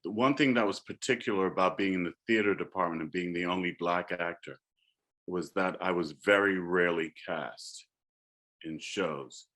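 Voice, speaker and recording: Older man, very low bass-baritone, reflective panel talk, casual. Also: moderate pace. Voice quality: deep bass-baritone speaking voice. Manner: reflective, turns animated in storytelling. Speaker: alumni panelist. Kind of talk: panel discussion.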